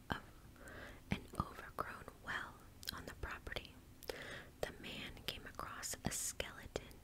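A woman in her thirties gently reading a story in an ASMR style, whispering close to the mic with careful, deliberate phrasing. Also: rough recording. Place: small indoor space in front of a curtain.

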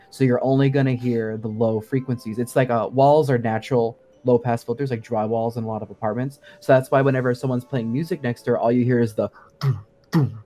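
A woman joins a gaming show as a guest and explains things casually. Her voice is low for a woman, with faint music underneath. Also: warm tone. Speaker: guest co-host, sound design enthusiast.

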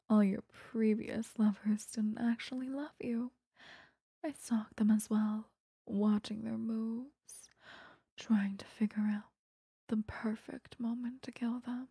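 Obsessive vampire seductress acted by a woman for intimate ASMR roleplay: very breathy whisper, dark and soft, menacingly possessive. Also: medium pitch, dry recording, clean studio sound, heavily acted. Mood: coaxing, sinister. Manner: slow, coaxing, intimate. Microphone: close microphone.

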